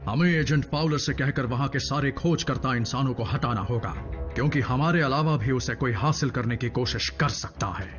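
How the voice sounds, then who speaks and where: An actor playing a noble, authoritative robot commander in a cartoon dub, speaking in a formal, measured way. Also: male voice, mid-range voice, lightly acted. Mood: commanding. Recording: muffled dub with faint music.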